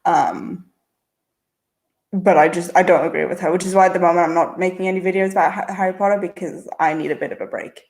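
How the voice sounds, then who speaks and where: A woman's voice: lowish voice, darkish tone. A woman with a mixed international-school accent, chatting casually as she reads viewer questions aloud and answers them on a livestream. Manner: chatty, answers quickly with frequent uh and um fillers. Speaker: channel host answering viewer chat.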